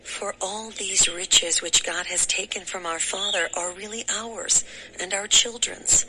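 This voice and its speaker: An actress playing a resolute wife, firm and emphatic, in a dramatized scripture recording. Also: female voice, mid-range voice, fairly even intonation, measured pace, pushed and bright, slight background noise, rough recording. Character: resolute biblical wife urging her husband.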